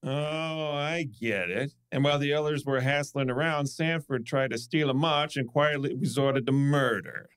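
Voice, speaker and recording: A man's voice: mid-range voice, normal speed, hardly any pauses, a bit bright, clean tone, dry recording, natural voice. A man playing a plain-spoken, informal police inspector in an old-time radio detective drama. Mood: impressed.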